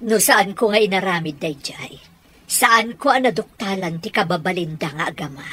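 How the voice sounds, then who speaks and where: Husky-voiced wronged mother. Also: medium pitch, normal speed, sharp tone. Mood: indignant. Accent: Ilocano. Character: wronged, indignant mother, kindly to younger woman. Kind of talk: radio drama acting.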